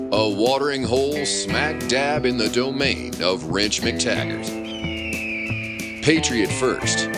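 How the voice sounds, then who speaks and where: An actor playing a pompous, mock-heroic announcer narrating a pulp detective serial. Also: male voice, mid-range voice. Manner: pompous, dramatic. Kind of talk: scripted dramatic narration. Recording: music bed under the voice. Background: guitar music.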